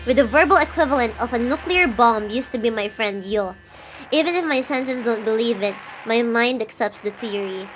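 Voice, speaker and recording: A woman streamer with a fairly high voice reads a dark visual novel aloud on a relaxed livestream. She slips between steady narration and chatty, giggly asides, with soft game music under her. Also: poor audio. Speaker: VTuber streamer.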